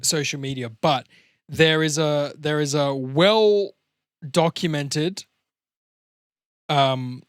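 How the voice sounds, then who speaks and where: Australian man chatting, slightly higher voice. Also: slow, pauses often, clean tone, no room sound, studio quality. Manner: rambling, sweary, digressive storytelling. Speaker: podcast host. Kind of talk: casual podcast banter.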